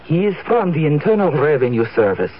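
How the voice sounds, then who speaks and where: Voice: mid-range voice, big pitch swings, brisk, husky. A performer playing a mysterious, theatrical fortune teller in a comic radio ad sketch. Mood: mystical, assured. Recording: muffled, hissy old radio recording.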